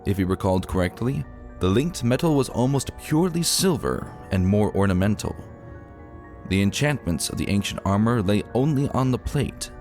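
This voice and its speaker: A man with a low voice narrates a dramatic fantasy tale. His delivery is solemn and theatrical, with lively swings in pitch, over music. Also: moderate pace. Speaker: audiobook narrator. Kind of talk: dramatic fantasy story reading. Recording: music behind the voice.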